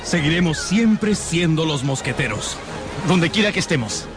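An actor playing a loyal, good-natured musketeer in a radio drama. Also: male voice, a little high, very animated, normal speed. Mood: fond, resolute. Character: loyal musketeer comrade, pious and cheerful. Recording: music behind the voice.

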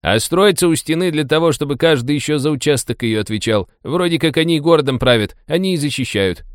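A man's voice: a little high, lively intonation, a little fast, smooth, dry recording, studio quality, voice pushed up, natural voice. A man voicing a talkative, sardonic taxi driver who speaks in a colloquial, wry way in an audiobook. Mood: matter-of-fact explaining. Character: chatty, sardonic male taxi driver, a streetwise local guide.

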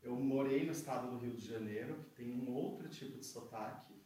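A man's voice: mid-range voice, a little fast, mellow. A man with a Brazilian Portuguese accent from the São Paulo interior, talking reflectively in a casual conversation. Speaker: artist guest. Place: theatre garage space. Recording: roomy echo, distant mic, faint noise.

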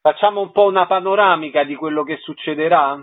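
A man's voice: on the high side, fast, no echo, phone-quality sound. A man hosting a radio programme, asking questions and presenting. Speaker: radio host.

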